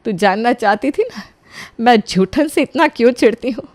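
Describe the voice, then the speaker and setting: A woman's voice: on the high side, lively intonation, moderate pace, darkish tone, no echo, studio quality, lightly acted. A woman playing a wronged elderly mother-in-law in a dramatic audio story, speaking solemnly and with emotional emphasis. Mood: grave.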